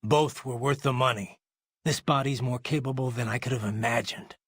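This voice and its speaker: An actor playing a confident, wry cyborg mercenary in a video game. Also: male voice, mid-range voice, fairly even intonation, normal speed, fairly bright tone, breathy edge, no room sound, studio quality. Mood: satisfied. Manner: cool, wry. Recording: game music behind.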